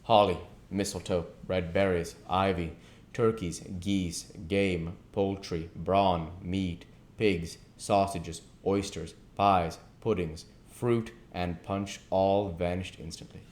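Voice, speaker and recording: A man with a low-pitched voice reads classic fiction aloud. His storybook narration is deliberate and emphatic, phrase by phrase, with an amateur reader's occasional stumble. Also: fairly even intonation, darkish tone. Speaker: audiobook reader.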